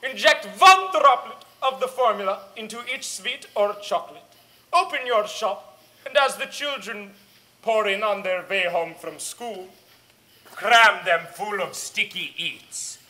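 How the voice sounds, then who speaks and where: A woman's voice: mid-range voice, expressive, measured pace, sharp tone. A woman playing a tyrannical, gleefully cruel grand witch in a theatrical drama, speaking imperiously in foreign-sounding broken English. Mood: scheming glee. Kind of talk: theatrical villain monologue.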